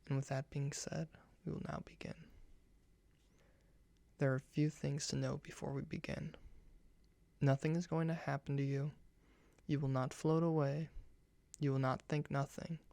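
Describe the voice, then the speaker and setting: Soft-spoken man with gravelly fry, very dry sound. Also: fairly high pitch, no echo. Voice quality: soft, hushed. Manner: gentle, measured delivery in short phrases. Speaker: ASMR host guiding a meditation. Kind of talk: soft-spoken ASMR guided meditation.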